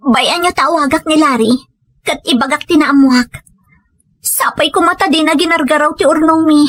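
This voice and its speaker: A woman's voice: sits high, normal speed, a bit bright, dry recording. A woman playing a dismayed, reproachful hard-working wife with an Ilocano accent, questioning and pleading in an audio drama. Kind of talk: Ilocano comedy drama acting.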